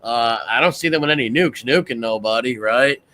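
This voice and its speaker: A man's voice: mid-range voice, normal speed, pushed and bright. A man in his forties hosting a casual political chat, blunt and rhetorical, often tagging "right?" onto his points. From United States. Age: 40s.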